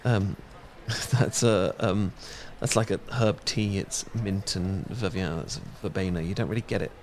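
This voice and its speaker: A man's voice: low-pitched, unhurried, a little hiss or hum. A young British man in a romantic audio roleplay, talking shyly with many fillers and restarts. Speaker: ASMR audio roleplay performer.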